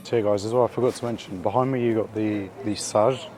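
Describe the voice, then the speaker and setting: A man hosting a casual food vlog while out in the city, his voice croaky from being ill, chatty and upbeat with lots of slang and fillers. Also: lowish voice, steady tone, a little fast, dark tone, faint music behind. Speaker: food vlogger. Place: out and about in city streets and a small eatery.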